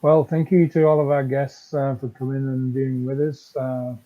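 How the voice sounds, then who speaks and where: A man's voice: medium pitch, little pitch movement, normal speed. A muffled, slightly lo-fi recording of a man co-hosting a book chat. He talks casually and wanders a little, with a wry turn to his speech. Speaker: co-host of a live book-reading show. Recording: muffled, band-limited sound.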